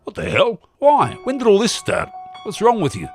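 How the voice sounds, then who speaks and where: A daft, overconcerned father in a comic radio play, as a man acts him. He is earnest and urgent, with very varied pitch. Music runs underneath. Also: wildly swooping pitch, moderate pace, gravelly, full character voice. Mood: alarmed. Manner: mock-grave, urgent, earnest. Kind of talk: comedic radio play acting.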